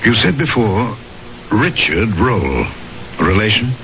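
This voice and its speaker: An actor playing an earnest, troubled gentleman who tells a macabre mystery in formal, deliberate radio drama style. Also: on the low side, sing-song, slight background noise, full character voice. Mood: shocked, probing. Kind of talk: dramatic first-person radio narration and acting. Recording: muffled old radio broadcast, loud music.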